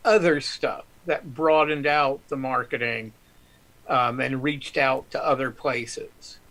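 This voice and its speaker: A man's voice: mid-range voice, fairly even intonation, slow pace, fairly bright tone, rough recording. A man guest author telling stories on a live stream, rambling with many ums and restarts. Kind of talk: casual storytelling on a live stream.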